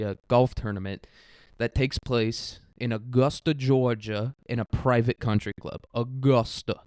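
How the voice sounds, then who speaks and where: A man's voice: mid-range voice, darkish tone, clear, resonant tone, no room sound, studio quality. A young American man hosting a solo podcast, rambling casually and comedically in a crude, irreverent manner. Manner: crude, irreverent, often chuckling as he talks.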